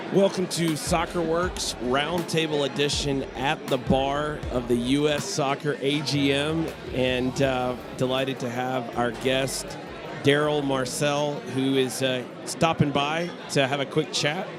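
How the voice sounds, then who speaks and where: A man's voice: mid-range voice, slow. A man hosting a soccer podcast, chatting casually with a guest and often restarting his words. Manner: friendly, stammering restarts. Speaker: podcast host. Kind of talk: casual interview. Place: busy bar at a conference. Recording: loud bar crowd and music. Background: loud crowd chatter and music.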